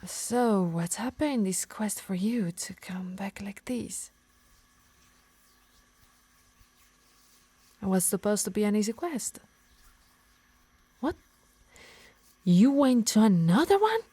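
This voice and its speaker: Casting: doting, bossy harpy healer acted by a woman, medium pitch, scolds and teases, ASMR roleplay, dry close sound. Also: animated, measured pace, pauses often, mellow, breathy edge, no echo. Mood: alarmed, scolding. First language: Italian. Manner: teasing, scolding, sighing.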